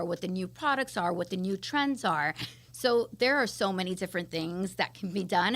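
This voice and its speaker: A middle-aged woman from Miami with an American accent, answering interview questions in an enthusiastic, explanatory and conversational way. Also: mid-range voice. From Miami, United States. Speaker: interview guest, esthetician.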